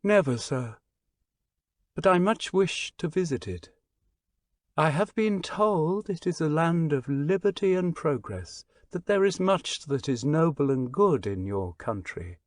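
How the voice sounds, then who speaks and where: A man's voice: lively intonation, measured pace, no room sound, studio quality. A man doing a pale, refined foreign stranger. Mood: earnest. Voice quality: sweet clear high voice. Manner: earnest, solemn, moralizing. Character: refined, pale foreign young male stranger, grave and earnest.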